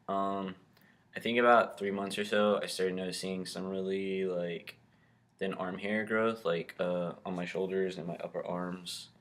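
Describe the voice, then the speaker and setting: A young man talking casually to his camera in a vlog, rambling with lots of ums and likes. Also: deep voice. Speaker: vlogger.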